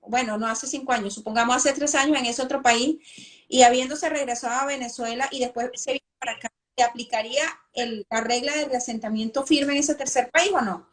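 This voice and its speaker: A woman with a very high voice hosts a live interview. She asks long, winding questions, circling back and filling pauses as she goes. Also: fairly even intonation. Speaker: host.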